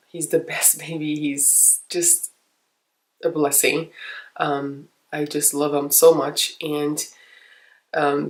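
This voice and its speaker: A young woman with a stuffy, nasal voice from a cold, chatting casually to camera as a vlogger, rambling with many ums and soft laughs. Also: deep voice. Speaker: makeup vlogger.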